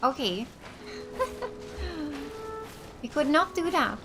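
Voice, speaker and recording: A woman from Finland doing casual let's play commentary, reacting aloud and thinking out loud as she plays. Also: high voice, very animated, takes it slowly. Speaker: gaming YouTuber. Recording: game music behind the voice. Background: video game music.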